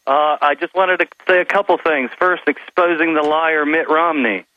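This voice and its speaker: A man's voice: a little high, normal speed. A man calling in to a talk radio show, earnest and indignant as he lays out his complaints. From North Carolina. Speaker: caller. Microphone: phone. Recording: dull, dry phone-line sound.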